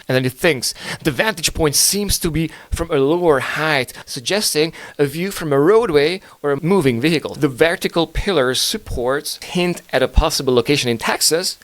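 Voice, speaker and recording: A man's voice: medium pitch, fairly bright tone, dry recording. A man with Hungarian as his first language hosting a podcast, rambling through news in a casual, profane, joking way with lots of fillers. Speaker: podcast host.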